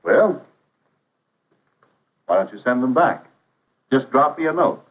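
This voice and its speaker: A man's voice: medium pitch, lively intonation, takes it slowly. An actor playing an earnest, polite, persuasive travelling salesman in a 1950s training film, with courteous, confident patter. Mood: reassuring. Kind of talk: 1950s instructional film acting. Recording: dull vintage 1950s film soundtrack.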